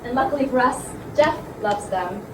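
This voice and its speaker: A woman's voice: a little high, normal speed, a bit bright, close to an everyday voice. A woman playing a humble, polite farm schoolgirl in a theatrical comedy, deferential and chatty but innocently evasive. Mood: eager, hospitable. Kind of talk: theatrical comic drama. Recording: roomy, distant, clearly noisy recording.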